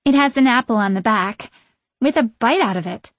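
High, airy, giddy witch. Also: lively intonation, moderate pace, no echo. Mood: curious. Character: childlike, airy, giddy, curious witch woman. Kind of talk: audiobook character acting.

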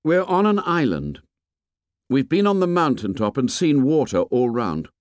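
A man's voice: mid-range voice, big pitch swings, unhurried, darkish tone, no echo, studio quality, pitched above the performer's own voice. A man voicing an earnest, commanding schoolboy leader in an audiobook, speaking in short emphatic phrases. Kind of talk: audiobook character voice.

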